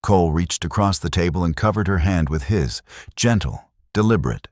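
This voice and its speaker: A man narrating a romantic western story in a measured, earnest way. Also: low-pitched, expressive, no room sound, studio quality. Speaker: audiobook narrator.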